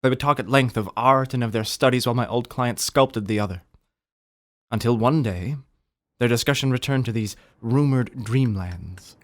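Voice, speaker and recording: Formal, deliberate actor as a male storyteller. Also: mid-range voice, natural voice. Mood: reserved, recounting. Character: formal, sceptical art dealer recounting an uncanny tale. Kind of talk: radio drama narration.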